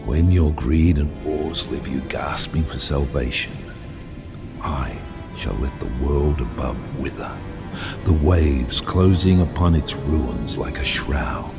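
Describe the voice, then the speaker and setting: An actor playing a bitter, vengeful sea captain in an audio drama, delivering a grandiose, menacing monologue over music. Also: male voice, a bass voice, takes it slowly, exaggerated voice. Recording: clip with music underneath.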